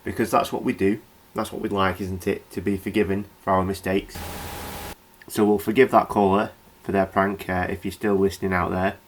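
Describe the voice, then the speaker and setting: A man's voice: low voice, little pitch movement, moderate pace, a little hiss or hum, low-quality recording. A man with a British accent playing a flustered, nervously apologetic local radio presenter who stammers and rambles in a comedy horror radio play. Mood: nervously chatty.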